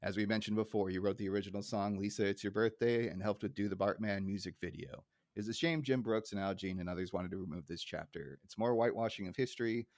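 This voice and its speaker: A man's voice: fairly low pitch, fast talker, hardly any pauses, darkish tone, no room sound. Casual male podcast host, close dry sound.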